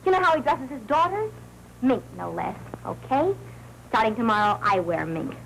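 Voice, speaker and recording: An American actress playing a scheming, defiant young wife in a 1940s noir film, with an old soundtrack sound. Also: female voice, fairly high pitch, very animated, normal speed, gravelly, fairly bright tone, slight background noise, full character voice, poor audio. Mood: greedy, excited.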